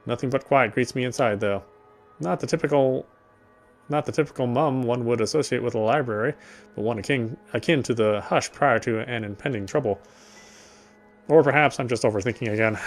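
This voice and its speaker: A man reads a horror visual novel in the first person at an ordinary middle pitch. He stumbles and restarts words now and then, and faint music plays beneath his voice. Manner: steady reading with frequent restarts of words. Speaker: narrator.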